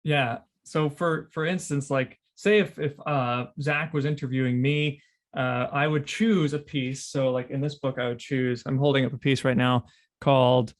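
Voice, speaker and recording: A man's voice: mid-range voice, steady tone, moderate pace. A man talking casually on a podcast in an encouraging, agreeable way.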